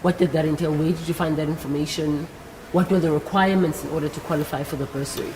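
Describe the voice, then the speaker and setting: A woman's voice: low-pitched, steady tone, fast talker. A South African woman hosting an interview, encouraging and speaking directly to her audience. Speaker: interview host. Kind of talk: live career talk show interview before young guests. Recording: echoing hall with faint background noise.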